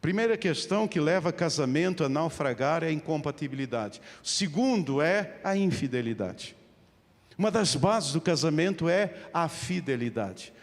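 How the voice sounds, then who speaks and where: A middle-aged man preaches with a European Portuguese accent in a slightly high voice. He speaks straight to his listeners and drives points home through repetition. The sound carries the long reverb of a large church hall. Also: expressive, normal speed. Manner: emphatic repetition, addressing listeners directly. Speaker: pastor preaching. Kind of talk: evangelical sermon.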